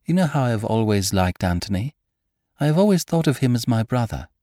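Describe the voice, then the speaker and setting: Man doing a troubled young woman, halting, voice climbing when scared, lively pitch, dry sound. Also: no echo, close to an everyday voice. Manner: hesitant, trembling, rising to alarm. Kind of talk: audiobook character voice.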